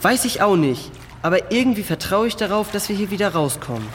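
Male actor as level-headed young boy in kids' cave adventure: high voice, lively pitch, long reverb. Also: fairly quick. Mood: calm, encouraging. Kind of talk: children's audio drama. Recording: reverberant cave effect, faint water noise.

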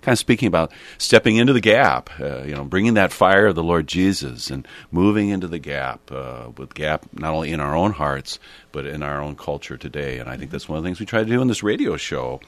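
Very deep, gravelly American man. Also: lively intonation, no echo. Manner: halting, meandering with frequent hesitations. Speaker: bishop hosting a radio show. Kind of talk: reflective, conversational radio talk.

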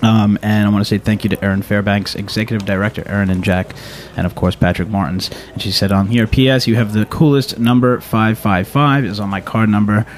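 A man with a fairly low voice hosts a casual talk show from a studio. He talks in a halting, rambling way, circling back on his phrases, with faint noise behind him. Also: fairly even intonation. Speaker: radio show host. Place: radio studio.

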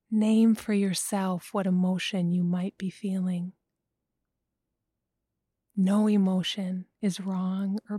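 Female meditation guide, very slow, hushed whispering delivery, warm and dark tone. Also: lowish voice, slightly rough, no room sound, clean studio sound. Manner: slow, soft, often whispered.

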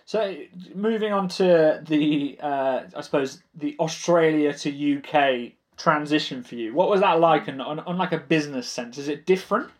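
A man hosting a podcast interview, asking halting questions with hesitations and restarts. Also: on the high side. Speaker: podcast host.

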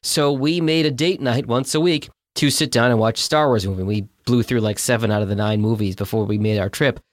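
A man's voice: medium pitch, fairly even intonation, brisk, no room sound, studio quality. A man in his forties co-hosting a podcast, chatting casually and telling personal stories in an upbeat way. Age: 40s. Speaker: podcast co-host. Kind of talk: casual chat.

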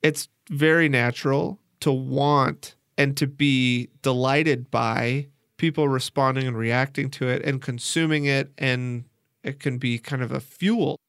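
A man's voice: medium pitch, steady tone, unhurried, a bit bright, smooth, no echo, studio quality. A man hosting a podcast, speaking as a narrator and conversational interviewer.

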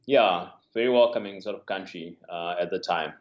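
A man's voice: sits low, normal speed. Man from Zimbabwe on a dull call line. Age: about 30. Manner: relaxed, hedging with "sort of" and "yeah". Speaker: software engineer guest. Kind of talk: remote interview answers. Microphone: call over the internet.

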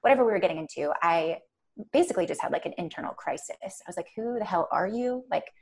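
Soft-spoken woman with gravelly fry. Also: lowish voice, expressive, quick pace. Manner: soft-spoken, rambling, many fillers. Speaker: podcast guest. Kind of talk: casual chat.

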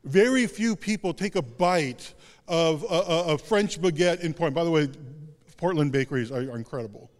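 A man's voice: expressive, normal speed. A male pastor giving a sermon in a conversational, self-deprecating way with casual asides. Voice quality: deep voice. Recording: long hall reverb.

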